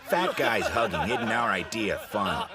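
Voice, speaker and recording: A man's voice: lowish voice, a little slow, background noise. A man voicing a cocky teenage delinquent leader in an English anime dub, smug and mocking. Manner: smug, mocking, calm menace. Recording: dub with loud music score.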